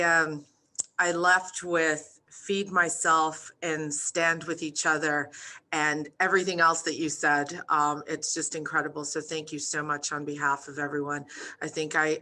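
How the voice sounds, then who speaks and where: A woman's voice: low voice, level tone, measured pace, bright, forceful tone. A woman moderating an online Q&A, effusive and hesitant, with many fillers. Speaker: event moderator.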